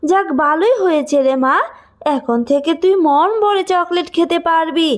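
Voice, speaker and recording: A woman voicing an emphatic, fussing village mother, loving but quick to scold, in a cartoon. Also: very high voice. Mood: pleased. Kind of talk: cartoon voice acting.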